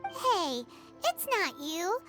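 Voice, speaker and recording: A woman's voice: extremely high pitch, sing-song, very slow, a bit bright, faint music behind, heavily acted. A woman voicing a tiny, cheerful, food-loving fairy girl in a video game, perky and childlike. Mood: reassuring. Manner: childlike, perky, talks of self in third person. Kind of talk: video game character acting.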